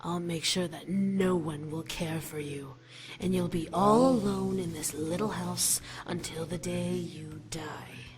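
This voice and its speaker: A succubus villain from a visual novel game. She speaks in a low female voice, sweetly mocking and full of smug menace. Faint music plays underneath. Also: steady tone. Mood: cold menace. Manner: mocking, condescending, drawn-out sweetness.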